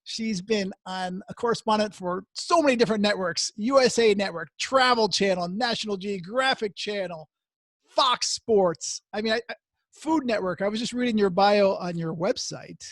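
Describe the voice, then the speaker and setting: A man hosting a podcast interview, chatty and asking quick follow-up questions. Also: high-pitched, a bit bright, no echo, clean studio sound. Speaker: podcast host. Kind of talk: casual interview.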